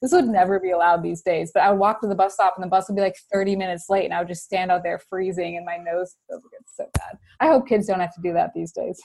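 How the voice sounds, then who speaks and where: A woman's voice: lowish voice, steady tone, creaky, a little mellow. Chatty young woman with a Wisconsin accent. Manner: chatty, quick storytelling with many "like" fillers. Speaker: guest scientist. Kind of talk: casual podcast chat.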